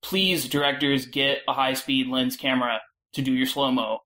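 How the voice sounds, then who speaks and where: Low-voiced woman giving casual opinions. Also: normal speed. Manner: informal, opinionated, animated asides. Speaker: podcast guest panelist. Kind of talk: casual film discussion.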